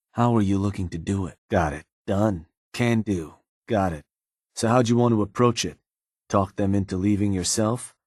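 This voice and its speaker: A man playing a gruff, shrewd raider strategist in a video game, with a husky voice and a laid-back, businesslike, colloquial delivery in clipped phrases. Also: low voice, no echo, clean studio sound. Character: gruff, shrewd male raider strategist advising his gang boss. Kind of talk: video game character dialogue.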